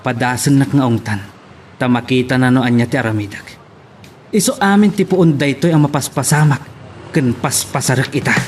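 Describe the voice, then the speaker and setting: A man's voice: medium pitch, moderate pace, lightly acted. A man with an Ilocano Filipino accent playing a brooding, frustrated young man in an audio drama. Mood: bitter, frustrated. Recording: faint background noise.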